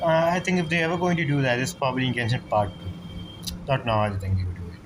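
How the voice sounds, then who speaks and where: A man's voice: medium pitch, fast talker, noisy background. A man giving casual, rambling gameplay commentary as he streams, muttering his reactions to the game. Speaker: gaming streamer. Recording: game music clearly behind the voice.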